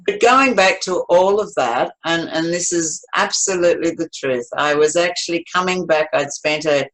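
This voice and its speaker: A woman's voice: on the low side, moderate pace, rough recording. Rambling older woman storyteller. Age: 70s. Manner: rambling, warm storytelling with frequent restarts and laughs. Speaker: interview guest. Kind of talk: casual storytelling interview.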